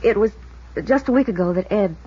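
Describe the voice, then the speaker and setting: Actress as husky, anxious American wife. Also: female voice, mid-range voice, mellow, close to an everyday voice. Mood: worried, defensive. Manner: hesitant, halting, restrained worry. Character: anxious, guarded wife of a missing man. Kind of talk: 1950s American radio drama acting. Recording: dull vintage radio recording.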